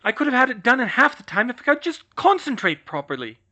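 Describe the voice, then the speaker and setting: A narrator voicing a distraught, overworked young witch who shouts and breaks down. The voice is high, strained and loud, in a storybook reading. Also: very animated, fast talker. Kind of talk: audiobook reading of fan fiction. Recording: slightly muffled recording.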